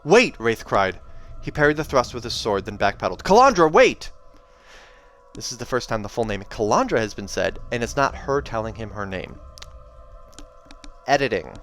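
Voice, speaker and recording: A man's voice: lowish voice, brisk, a bit bright. A man narrating a fantasy novel aloud, with informal, self-correcting asides as he reacts to the text. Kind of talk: audiobook narration with casual asides.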